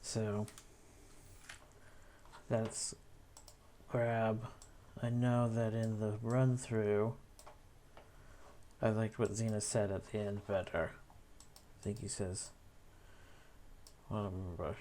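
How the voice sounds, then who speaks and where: Slow-paced person thinking aloud while video editing. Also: mid-range voice, little pitch movement, warm tone, a little hiss or hum. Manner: musing, talks to self while working. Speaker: video editor narrating own editing session. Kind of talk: casual screen-recording commentary, thinking aloud.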